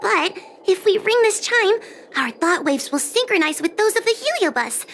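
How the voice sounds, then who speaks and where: Very high, timid fox-girl exorcist. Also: animated, moderate pace, fairly bright tone, a little hiss or hum, full character voice. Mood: earnest, unsure. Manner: hesitant, stammering, apologetic. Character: timid, earnest young fox-girl exorcist stammering through expertise. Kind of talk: anime-style game voice acting. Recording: game music behind the voice.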